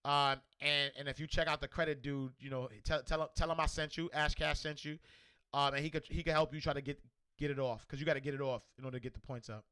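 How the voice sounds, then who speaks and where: A man with a New York accent hosting a livestream, loudly and energetically answering viewer comments in casual chat. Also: fairly high pitch, quick pace, smooth. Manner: loud and energetic, reads comments aloud. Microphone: desk microphone.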